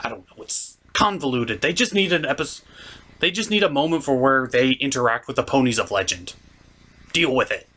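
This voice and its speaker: A man's voice: mid-range voice, lively intonation, brisk, bright, forceful tone. A man giving casual comic review commentary in an offhand, rambling, sardonic way. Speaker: reviewer and puppeteer host.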